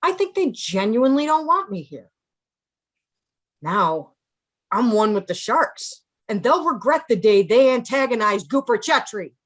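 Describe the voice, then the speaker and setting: A woman's voice: fairly high pitch, very animated, a little slow. A woman acting a wounded, newly emboldened outcast turned vengeful shark convert in a musical table read. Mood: emboldened, bitter.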